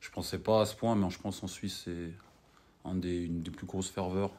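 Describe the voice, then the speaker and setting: A young man from Cameroon, an ice hockey player, chatting as a guest in a relaxed way and often adding "tu vois". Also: deep voice, moderate pace, slight background noise. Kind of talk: casual interview chat.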